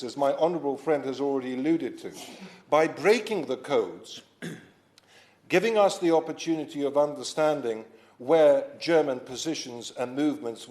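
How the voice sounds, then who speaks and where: A man born in Poland delivers a formal parliamentary speech in a debating chamber. His voice sits at a middling pitch, and his delivery is measured and deliberate. He adds the odd self-deprecating aside. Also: darkish tone. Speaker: member of parliament, debate sponsor.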